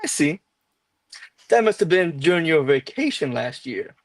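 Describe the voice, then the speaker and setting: Lady reading a starship doctor lady, polite but jealous, swingy pitch, table read. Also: sing-song, moderate pace, heavily acted. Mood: politely probing. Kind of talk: live script table read.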